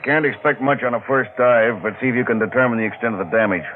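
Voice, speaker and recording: A businesslike salvage captain, acted by a man in a vintage radio mystery. He projects his orders plainly and briskly. The recording is narrow and muffled, with faint noise. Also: medium pitch, natural voice. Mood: brisk, commanding. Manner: loud, projected, matter-of-fact. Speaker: supporting actor in a radio mystery. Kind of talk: old-time radio drama acting.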